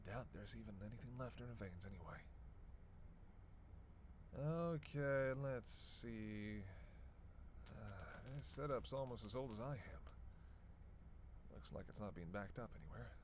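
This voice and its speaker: A man's voice: lowish voice, expressive, unhurried, pauses often, background noise. Man acting a sardonic, grumbling vampire. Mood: muttering, cynical. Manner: sarcastic, grumbling, swearing. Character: sardonic, reluctant male vampire, hungry, cursing, teasing. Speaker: voice actor in audio roleplay. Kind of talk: solo audio roleplay addressed to the listener.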